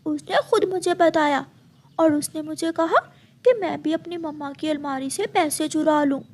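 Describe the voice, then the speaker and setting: A woman's voice: sits high, moderate pace. A woman voicing a mischievous young boy crow in a cartoon story, talking in a pleading, childlike way. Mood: childish. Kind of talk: Hindi cartoon story voice acting.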